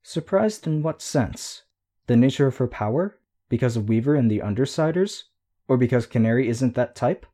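A man's voice: medium pitch, lively intonation, normal speed, warm tone, lightly acted. A man reading a polite, procedural adult heroine in an audiobook. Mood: courteous. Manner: courteous, procedural.

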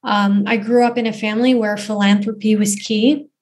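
A woman's voice: medium pitch, level tone, normal speed, darkish tone. A woman, giving earnest, thoughtful answers as an interview guest, often saying "you know" and "um". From Canada. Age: 20s. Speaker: guest, footballer and activist.

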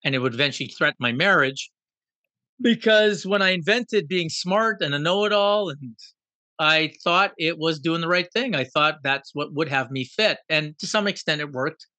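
Bright-voiced man telling a personal story. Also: fairly high pitch, normal speed, no echo. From Guyana. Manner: animated, reflective, self-deprecating. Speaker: guest.

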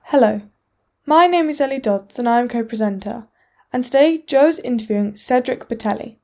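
A woman co-presenter reading out a scripted introduction of a guest in a steady voice. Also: a little high, moderate pace, very noisy. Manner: steady, read-aloud delivery.

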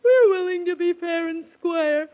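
A woman's voice: very high voice, a little slow. A woman playing a cheeky, argumentative little male imp who talks in rhyming nonsense in a 1930s children's radio serial. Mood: contrary, smug. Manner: rhyming nonsense patter, contrary. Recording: muffled old 1930s radio recording.

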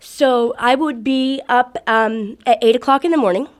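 A woman speaking earnestly and outspokenly as an interviewee, with a loud voice. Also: on the high side, normal speed. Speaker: activist interviewee. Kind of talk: casual interview.

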